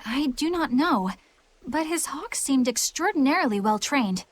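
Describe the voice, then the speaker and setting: High, lively, proper young woman. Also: unhurried. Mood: thoughtful. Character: polite, earnest young woman, easily flustered.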